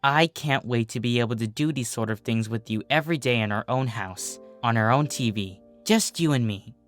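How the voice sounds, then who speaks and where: A man's voice: medium pitch, normal speed, fairly bright tone, no echo, soft background music, close to an everyday voice. A man voicing a brash, defensive, teasing young female athlete pony with casual, slangy, huffy delivery. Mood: tender.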